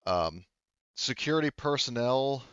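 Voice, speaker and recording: A man narrating a tabletop roleplaying game as game master, in halting phrases with frequent self-corrections. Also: medium pitch, expressive, fairly bright tone, clear, resonant tone, no echo, dull audio.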